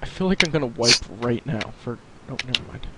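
A man's voice: medium pitch, lively intonation, fairly quick. A man thinking aloud and swearing casually while playing a video game alongside a friend. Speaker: let's play co-host. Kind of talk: casual gaming commentary. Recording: heavy keyboard typing noise. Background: computer keyboard clicks.

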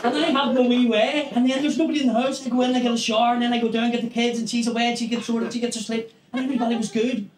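High-voiced Northern Irish comic. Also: male voice, very fast, hardly any pauses, over music. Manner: animated, swearing, storytelling with acted-out quotes. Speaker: stand-up comedian. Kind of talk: stand-up comedy set. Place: small comedy club stage with brick wall. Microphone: handheld stage mic. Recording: echoey club with loud audience laughter. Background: audience laughter.

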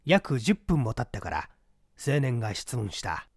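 A man narrating a mystery story in a deliberate, dramatic reading style. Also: medium pitch, sing-song, gravelly, slightly rough, dry recording, studio quality.

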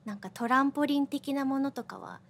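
A woman's voice: high voice, a little fast, a little hiss or hum. A woman co-hosting a web radio show, chatting and presenting corners in a giggly, reactive manner.

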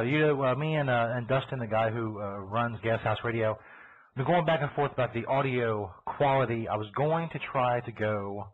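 A man with a Kentucky accent hosting a live internet radio show, rambling in casual, profane chat and laughing as he goes. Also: mid-range voice. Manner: profane, laughing, talks over himself. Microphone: computer mic over a call line. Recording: tinny phone-like internet broadcast.

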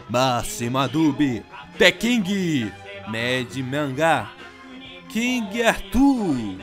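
A man with a Brazilian accent hosting a manga commentary in an informal, slangy, casual way. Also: medium pitch. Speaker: host of a manga commentary show.

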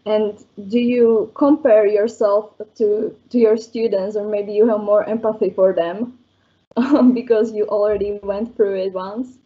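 A young woman moderating a panel on a video call, asking polite, steady questions. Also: medium pitch, measured pace. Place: bright room at home. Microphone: laptop webcam on a video call. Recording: dull lo-fi video call audio.

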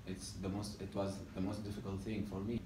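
A man's voice: sits low, flat delivery, fairly quick, mellow. A Syrian man in his twenties with an Arabic accent, speaking hesitant English with many fillers in a documentary interview, recorded in a library room. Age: 20s. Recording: roomy, distant sound with faint hiss.

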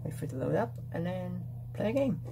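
Playful teen narrating kitchen steps. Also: male voice, high voice, big pitch swings, brisk, gravelly, noisy background. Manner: mock-serious, announcing each step, counting down aloud. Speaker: vlogger. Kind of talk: playful step-by-step cooking vlog. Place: home kitchen and bedroom, indoors. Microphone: handheld phone camera.

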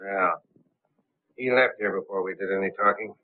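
An actor playing a sly, scheming gang boss in a 1930s film. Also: male voice, sits low, moderate pace, natural voice. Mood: puzzled. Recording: tinny, band-limited 1930s film soundtrack.

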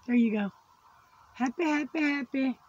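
A woman hosting a casual craft video, her voice worn from losing it after surgery, slowly chatting and cooing over small crafts. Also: high voice, darkish tone, husky, dull audio. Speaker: crafting channel host. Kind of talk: casual unboxing chat. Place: indoors at a tabletop craft setup.

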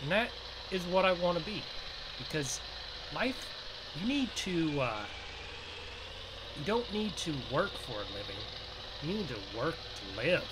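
Man, slightly raised pitch, unhurried pace, folksy rambling farmer roleplay, game narration, vehicle noise behind. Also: very animated. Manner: rambling, folksy, thinking aloud. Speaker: gaming video host. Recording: noisy, vehicle engine sounds behind voice. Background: game engine and vehicle sounds.

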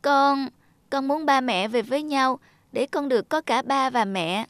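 A woman's voice: high-pitched, a little slow, fairly bright tone, no room sound. Earnest, childlike little girl. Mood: tearful pleading. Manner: childlike, plaintive. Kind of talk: cartoon drama acting.

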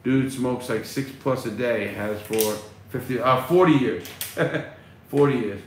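A man's voice: mid-range voice, a little slow. Middle-aged guy w/ chatting on a phone livestream, blunt and swearing, echoey room w/ faint hum. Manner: loose, blunt, swears casually. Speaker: livestream host reading chat. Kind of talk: casual livestream chat. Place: small room at home, indoors. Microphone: phone at arm's length.

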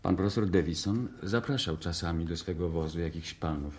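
Man acting guarded chauffeur, low dark mellow voice, terse, evasive, vintage radio play. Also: a little fast, a little hiss or hum, close to an everyday voice. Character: reserved, evasive male chauffeur, war veteran. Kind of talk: 1960s Polish radio drama acting.

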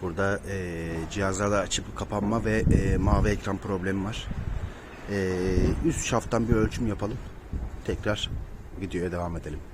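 Male repair technician, lowish register, informal explanatory walkthrough, recorded in a reverberant building corridor. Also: steady tone, moderate pace. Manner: explanatory, addressing viewers directly. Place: indoors, apartment building hallway by cable shafts. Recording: reverberant stairwell, clear background noise.

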